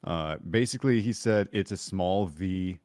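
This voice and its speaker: A man with a smooth, buttery voice chatting casually as a guest on a podcast about voice acting. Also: sits low, moderate pace, a little mellow, dry recording, studio quality.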